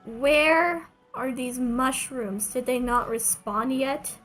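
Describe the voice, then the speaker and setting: A woman's voice: sits high, slow pace, fairly bright tone. A woman who speaks English as a second language, chatting casually as she streams a game. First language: not English. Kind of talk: casual livestream gameplay chat. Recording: game music behind the voice. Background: game music.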